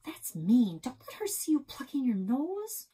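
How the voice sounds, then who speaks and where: A woman's voice: high-pitched, sing-song, a little slow, mellow, husky, pitched above the performer's own voice, heavily acted. A woman voicing a bossy, easily outraged young girl from a children's novel, speaking emphatically. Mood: scolding. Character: opinionated young girl who loves fishing, easily outraged.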